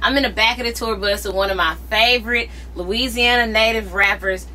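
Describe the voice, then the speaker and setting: A bubbly woman hosts the interview with a bright, sharp edge to her voice, teasing her guest and gushing as a fan. Faint background noise from the tour bus sits behind her. She keeps it a casual, playful chat. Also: a little high, fairly even intonation, a little fast. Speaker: interviewer, radio host.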